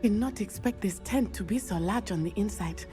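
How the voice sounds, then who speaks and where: A woman's voice: mid-range voice, close to an everyday voice. Woman acting a solemn, formal young witch, music behind. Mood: tense, determined. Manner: formal, measured speech. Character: solemn, brave teenage schoolgirl witch. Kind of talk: video game dialogue.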